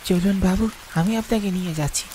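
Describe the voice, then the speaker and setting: A man's voice: high voice, brisk, warm tone, close to an everyday voice. A man voicing a frightened, superstitious village boatman for a horror cartoon, with a pleading, exclaiming manner. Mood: resigned. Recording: rain noise behind the voice. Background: falling rain.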